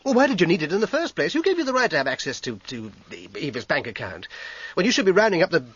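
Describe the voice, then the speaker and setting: An actor playing a struggling, articulate British composer in a radio drama, wordy and hesitant, turning agitated under pressure. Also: male voice, fairly high pitch, sing-song, quick pace, fairly bright tone, husky, dull audio, exaggerated voice. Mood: flustered, indignant. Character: struggling hack composer, defensive, articulate, evasive brother.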